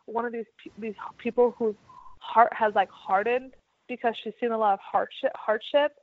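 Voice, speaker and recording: A woman from the United States co-hosting a casual chat, talking lively with lots of filler words. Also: on the high side, normal speed, no room sound. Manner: lively, lots of "like" and "you know". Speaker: podcast co-host. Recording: dull dry lo-fi sound.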